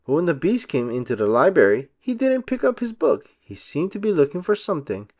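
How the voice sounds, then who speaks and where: A man giving an expressive, deliberate storybook narration for children. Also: on the high side. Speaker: read-aloud narrator for children.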